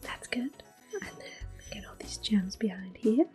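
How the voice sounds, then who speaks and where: A woman giving soft-spoken, often whispered ASMR commentary on a video game, in a gentle, hushed manner. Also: medium pitch, big pitch swings, slow pace, soft background music. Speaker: ASMR gaming host.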